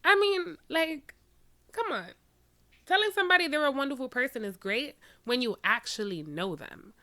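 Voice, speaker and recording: Sassy, bright-voiced woman reaction host. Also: high-pitched, normal speed, no echo. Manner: sassy, animated, talks straight to viewers. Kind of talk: casual reaction commentary.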